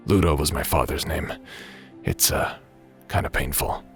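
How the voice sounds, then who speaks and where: Remorseful ex-pilot space pirate played by a man, very low voice, hesitant and wry, audio drama over light music. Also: moderate pace, fairly bright tone. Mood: guarded, remorseful. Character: remorseful ex-pilot turned space pirate, weary and guilt-ridden.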